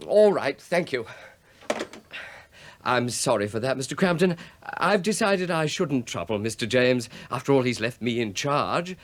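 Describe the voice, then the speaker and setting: Fussy, self-important British male bank official acted by a man, hesitant and deferential, lively intonation, radio drama with faint noise. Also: medium pitch, measured pace, natural voice. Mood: officious, anxious. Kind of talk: radio play acting.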